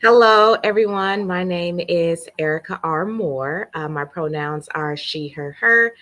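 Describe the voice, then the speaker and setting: A woman with a low voice and a New York accent introduces herself on a video panel. She speaks clearly and calmly and runs through her roles one by one. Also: a little slow. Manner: clear, composed, listing. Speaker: panelist, DEI consultant.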